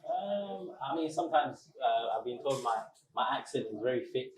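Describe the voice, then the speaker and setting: A man, a restaurant owner answering interview questions in a relaxed, measured way with many pauses. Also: medium pitch. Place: small restaurant. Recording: roomy echo.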